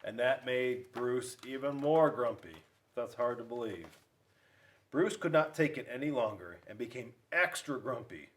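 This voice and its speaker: A man reads a picture book aloud to children. His delivery is slow, with a mid-range voice that moves up and down in pitch, and he leans on dramatic pauses. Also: expressive, far from the mic. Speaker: school resource police officer reading to children. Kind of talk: storybook read-aloud.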